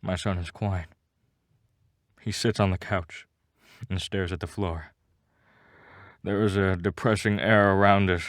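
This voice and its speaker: Low-voiced man narrating a melodramatic story. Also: steady tone, measured pace, long pauses, no echo, clean studio sound. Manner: mock-serious, melodramatic. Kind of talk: dramatic first-person scripted narration.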